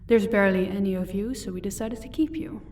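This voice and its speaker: A woman's voice: mid-range voice, fast, a little mellow, far from the mic, lightly acted. A woman playing a proud, sardonic vampire captor in an audio roleplay, commanding and dryly sarcastic. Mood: cool, explaining.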